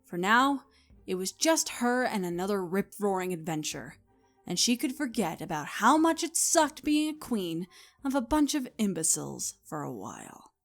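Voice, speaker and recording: A woman reading scripted story narration as the narrator, in an expressive storytelling manner. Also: mid-range voice, moderate pace, faint music behind.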